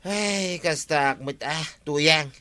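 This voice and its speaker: A man's voice: fairly high pitch, drawn-out words, exaggerated voice. A man with an Ilocano accent playing a distressed villager husband in a radio comedy drama. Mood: rueful. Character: distressed villager husband whose home and field collapsed. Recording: noisy radio drama with loud ambient effects.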